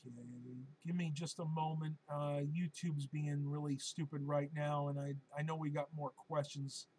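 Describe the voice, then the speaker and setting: Hoarse-voiced man chatting on a live stream. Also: on the high side, flat delivery, normal speed, a little mellow, a little hiss or hum, poor audio. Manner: chatty and rambling, with many ums and uhs. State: hoarse, losing his voice. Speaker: live-stream host answering viewer questions.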